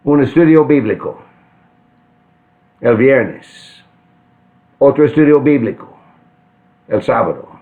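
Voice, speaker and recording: An older man from central Kansas with an American accent, preaching an earnest sermon and pausing to restart phrases. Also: medium pitch, lively intonation. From central Kansas, United States. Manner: deliberate, earnest, with frequent restarts. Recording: tinny phone-like sound.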